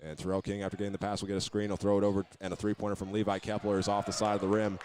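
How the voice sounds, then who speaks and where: A man's voice: fairly low pitch, monotone, rapid-fire, darkish tone. An American man calling a basketball game live as a play-by-play announcer, describing each move as it happens. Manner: running present-tense description of each move.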